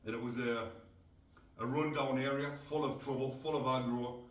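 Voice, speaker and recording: A man's voice: mid-range voice, fairly even intonation, normal speed. A middle-aged man from North East England, hosting a casual podcast in a blunt, rambling way. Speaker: podcast host. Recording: echoey room, distant mic.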